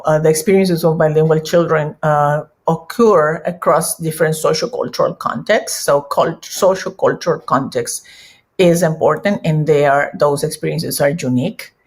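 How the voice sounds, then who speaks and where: A woman with a Peruvian Spanish accent speaks in a low, gravelly voice. She gives a conference presentation, pausing often and restarting her sentences as she feels her way through her points. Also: darkish tone. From Peru. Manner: halting, many restarts and fillers. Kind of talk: presentation talk on early childhood bilingualism.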